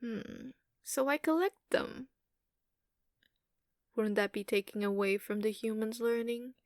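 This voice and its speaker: A woman voicing an ageless giantess dragon in an ASMR roleplay, haughty, doting and possessive, teasing with condescension and drawn-out hums. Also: a little high, no echo, clean studio sound, lightly acted. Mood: haughty, musing.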